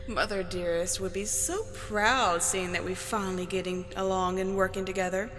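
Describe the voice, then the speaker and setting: A woman's voice: mid-range voice, steady tone, a little slow, a bit bright, in a large hall, soft background music, lightly acted. A woman as a smug scheming poisoner. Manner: smug, gloating. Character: scheming, sly female poisoner sister.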